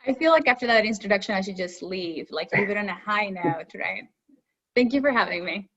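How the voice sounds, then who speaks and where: A woman's voice: mid-range voice, lively intonation, a little fast, dull audio. A woman from Cuba, a native Spanish speaker, answering as an interview guest in a candid, conversational way. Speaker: astrophysicist guest.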